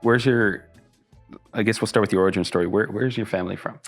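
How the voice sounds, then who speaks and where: Low-voiced podcast host, curious. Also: male voice, steady tone, fast talker, soft background music. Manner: curious, repeats words as he starts questions. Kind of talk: conversational interview.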